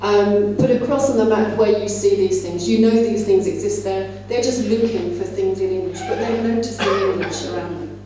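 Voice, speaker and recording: A woman's voice: mid-range voice, moderate pace, hardly any pauses. Female conference speaker, teacher trainer, conversational and explanatory, heard from afar in a very reverberant room. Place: large indoor conference room. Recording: echoey hall, distant mic, faint hum.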